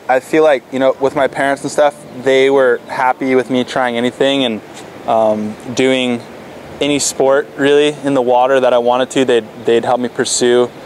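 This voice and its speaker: A teenage man answering interview questions in a relaxed, casual way, with frequent fillers and restarts. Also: mid-range voice, slight background noise. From Florida. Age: teens. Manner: laid-back, lots of fillers and restarts. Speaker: stand-up paddle athlete.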